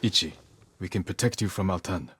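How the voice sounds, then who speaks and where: An actor playing a stoic, resolute noble samurai lord in a dramatic video game. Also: male voice, low voice, fairly quick, a bit bright, a little hiss or hum. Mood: earnest.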